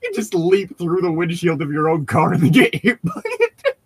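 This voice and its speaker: Animated, casual man in close, dry sound. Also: on the high side, moderate pace, husky, no room sound. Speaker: podcast host reading aloud with friends. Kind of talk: casual joking chat and group read-aloud of a novel. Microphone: close mic on an online voice call.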